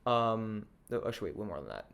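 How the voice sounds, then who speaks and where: A man's voice: fairly low pitch, big pitch swings, fast, vocal fry, fairly bright tone, clear, resonant tone. A man co-hosting a podcast in casual chat, with a dry, teasing manner and rambling asides. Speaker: podcast co-host.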